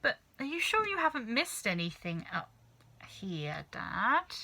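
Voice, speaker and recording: A woman's voice: a little high, sing-song, a little slow, sharp tone, breathy edge, full character voice. A woman voicing a young boy in a children's storybook, earnest and a little hesitant, as if pleading to be heard. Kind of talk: children's storybook character reading.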